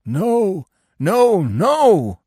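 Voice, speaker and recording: Frenzied dog, storybook animal, raised pitch, howling, yelping, wildly animated, fanatically loyal. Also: male voice, very slow, slightly rough, no echo, clean studio sound, full character voice. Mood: raging refusal. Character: frenzied, fanatically devoted male hunting dog.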